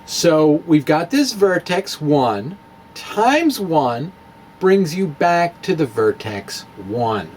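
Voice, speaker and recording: A man giving a playful, self-mocking demonstration of math with clay and pipe cleaners. He often pauses and restarts while he works with his hands. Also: on the high side, big pitch swings, slight background noise. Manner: wry, self-mocking, chuckles at own jokes. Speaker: physics blogger demonstrating math.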